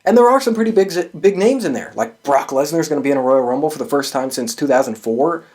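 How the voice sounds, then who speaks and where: A man with a somewhat higher-pitched voice speaks as the host of a commentary show. He is casual and opinionated, talking off the cuff. His delivery is emphatic and freely profane. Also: lively intonation, fast, a little mellow. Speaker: host of a wrestling prediction show.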